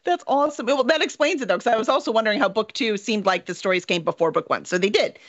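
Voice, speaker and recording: A woman's voice: fairly high pitch, quick pace. A middle-aged woman hosting an interview in a casual, chatty, informal way. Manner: animated, informal. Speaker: interview host. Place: small home room with bookshelves. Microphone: video call, at a desk. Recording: slightly dull video call audio.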